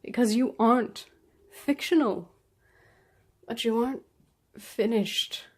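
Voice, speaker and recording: A woman performing a slam poetry reading, earnest and dramatic, with deliberate pauses between phrases. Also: fairly high pitch, a little mellow, husky. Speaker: slam poet.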